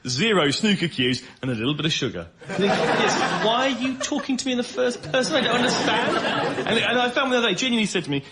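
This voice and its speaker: A man's voice: high voice, big pitch swings, quick pace, a loud crowd. A man riffing comically as a panel show guest, with an incredulous, mock-exasperated way of ranting. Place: radio panel show before a live audience. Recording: noisy live recording with audience.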